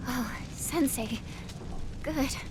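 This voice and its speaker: An actress playing a dutiful, calm young female ninja student in a video game cutscene, speaking in a halting, tender, earnest way. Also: high-pitched, over loud music. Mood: weak, resigned. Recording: clear ambient noise behind.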